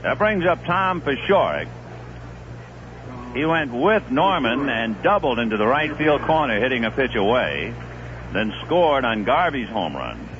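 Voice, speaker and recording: 1970s radio baseball announcer, lively pitch. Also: male voice, unhurried. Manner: relaxed storytelling delivery, rising sharply on big plays. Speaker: play-by-play announcer. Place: broadcast booth at a stadium. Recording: muffled 1970s radio broadcast with noise.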